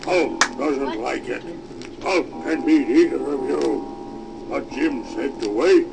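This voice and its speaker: Big dumb angry monster, super slow gravelly voice, talks like a kid, loud music behind. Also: male voice, mid-range voice, poor audio. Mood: sullen, fed up. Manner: childlike third-person speech, slow and blunt.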